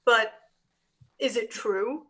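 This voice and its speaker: High, bright, inquisitive child. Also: female voice, drawn-out words, full character voice. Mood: curious, insistent. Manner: questioning, insistent. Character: inquisitive, insistent grandchild.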